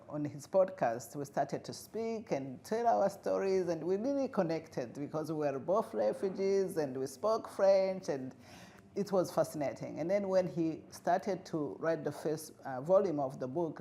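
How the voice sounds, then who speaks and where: A middle-aged woman from Rwanda, whose first language is Kinyarwanda, speaking earnestly as an interview guest with frequent pauses and fillers. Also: sits low, warm tone. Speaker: guest, refugee integration expert. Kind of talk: interview conversation.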